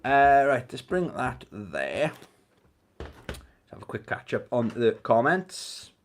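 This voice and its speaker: A man's voice: medium pitch, very animated, slow pace, slight background noise. A man with a Welsh accent hosting a casual gaming live stream, chatting in a rambling, jokey way to his viewers. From Wales.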